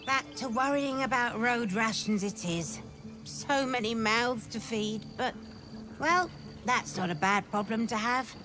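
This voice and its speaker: A kind, practical refugee woman played by an actress in a fantasy game. She talks slowly in a fairly high voice with wry gratitude. Music is clear behind her. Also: natural voice. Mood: wry, grateful. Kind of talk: video game voice acting.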